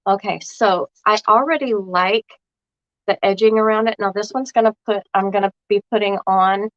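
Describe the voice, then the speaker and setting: A woman's voice: mid-range voice, fairly even intonation, normal speed, dry recording. A woman, sounding froggy from a cold, chatting casually and thinking aloud as she walks viewers through a craft project. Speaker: craft vlogger. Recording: dull lo-fi dry recording.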